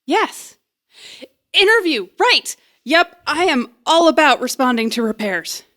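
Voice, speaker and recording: Flustered, high-pitched eager young woman. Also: big pitch swings, unhurried, sharp tone, full character voice. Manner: flustered, rambling, hesitant. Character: nervous, eager young woman bluffing through job interview. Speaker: actor in an audio drama. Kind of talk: naturalistic audio drama acting.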